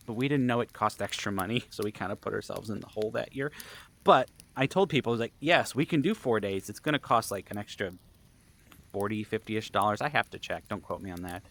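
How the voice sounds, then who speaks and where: A man's voice: medium pitch, fairly bright tone. A man telling stories in a casual, rambling vlog style, with a jokey, self-deprecating manner. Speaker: vlogger and camp event organizer.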